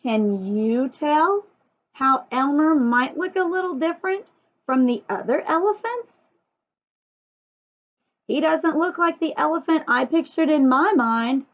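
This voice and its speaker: A woman teacher leading a story time lesson for young children, asking lots of questions and emphasising key words. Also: high-pitched. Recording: dull lo-fi sound.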